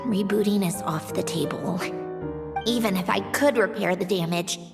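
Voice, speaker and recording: An actress playing a proud, sharp-tongued mechanical genius in a video game, haughty and theatrical, sardonic but secretly caring. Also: female voice, mid-range voice, normal speed, vocal fry, over music, lightly acted. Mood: strained, worried.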